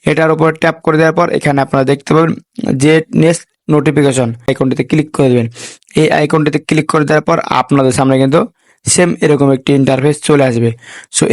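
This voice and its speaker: A man narrates step-by-step instructions to viewers at a rapid clip. His voice sits a little high for a man, and he mixes English words into repeated stock phrases. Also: no echo, studio quality. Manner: repetitive set phrases, peppered with English words. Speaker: tech tutorial host. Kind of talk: instructional voice-over addressing viewers.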